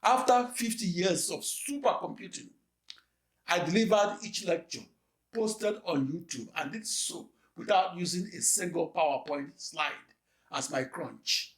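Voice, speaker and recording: A man delivering a scripted lecture in a slow, measured, emphatic way. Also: sits high, roomy sound.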